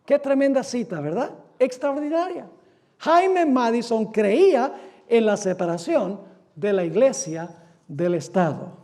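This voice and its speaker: Brief: male pastor from the US, strikingly high voice, emphatic sermon delivery with rhetorical questions. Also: sing-song, darkish tone. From United States. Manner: emphatic, asks rhetorical questions and answers them; reads quotations aloud slowly. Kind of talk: sermon lecture.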